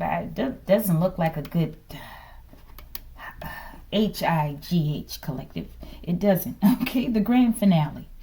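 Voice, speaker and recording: A woman giving an improvised, informal tarot reading to an online audience, asking rhetorical questions, tagging her phrases with "okay" and dropping into hushed asides. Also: mid-range voice. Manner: repeats rhetorical questions and verbal tags like "okay". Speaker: tarot reader, host of the reading.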